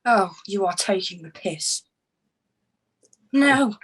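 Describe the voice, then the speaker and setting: A woman's voice: mid-range voice, lively intonation, takes it slowly, a little hiss or hum. A woman playing a fierce, fed-up partner in a screenplay table read, emphatic and confrontational. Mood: furious, hurt.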